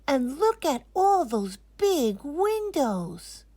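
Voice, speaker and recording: A woman voicing an excitable little girl full of wonder in a children's storybook, exclaiming and drawing out her words. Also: sits high, extremely slow, no room sound, pitched above the performer's own voice, full character voice. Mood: wide-eyed wonder.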